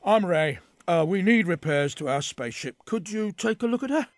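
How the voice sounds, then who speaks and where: A man's voice: high voice, expressive, normal speed, dry recording. Man voicing businesslike spaceship man Ray. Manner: businesslike, a little defensive.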